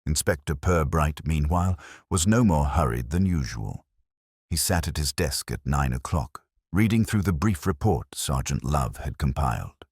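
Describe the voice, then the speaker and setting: A man narrating a radio mystery in a measured, dryly ironic storytelling manner. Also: extremely low pitch, expressive, a little mellow, husky, no echo, studio quality.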